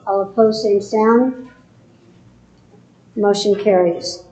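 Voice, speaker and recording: A woman mayor chairing a town board meeting, working through the agenda in a steady, procedural way. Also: mid-range voice, slow pace. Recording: echoey room, dull sound.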